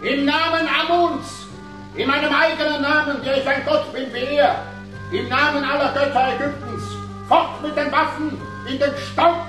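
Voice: high-pitched, fairly even intonation, normal speed, natural voice. An actor playing a majestic pharaoh who proclaims divine authority in a German radio drama, solemn, imperious and declamatory. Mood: majestic command. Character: majestic cloned pharaoh proclaiming himself a god. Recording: far, very echoey, music behind.

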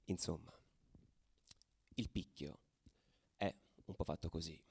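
Gravelly low-voiced football ultra. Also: male voice, a little mellow. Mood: wry, confiding. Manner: colloquial, brooding, swinging between murmur and agitated outburst. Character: hardened male football ultra recounting his world, streetwise, intense. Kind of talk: theatrical radio monologue, narrating.

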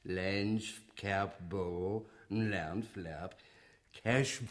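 A man's voice: sits low, extremely slow. An actor playing an insistent man who recites clipped, isolated words in an absurdist radio play. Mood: deadpan, clipped. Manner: staccato, isolated one-word utterances.

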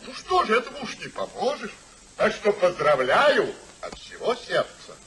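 An actor playing a gruff, hearty old uncle in a theatrical 1950s Russian radio play, blunt and exclamatory. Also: male voice, sits high, wildly swooping pitch, moderate pace, fairly bright tone, full character voice. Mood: teasing. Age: older. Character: Sir Oliver, gruff hearty old uncle back from abroad.

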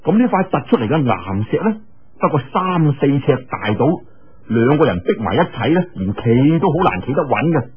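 Husky, animated Cantonese male storyteller. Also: medium pitch, moderate pace, narrow, tinny audio. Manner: dramatic, colloquial, with exclamations. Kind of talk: animated colloquial martial-arts audiobook storytelling.

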